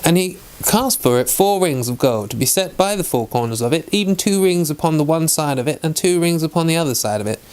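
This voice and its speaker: A man with a voice pitched a little above average hosts a daily Bible read-along. His delivery is measured and deliberate, as of someone reading scripture aloud to regular followers. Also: lively intonation, darkish tone, smooth.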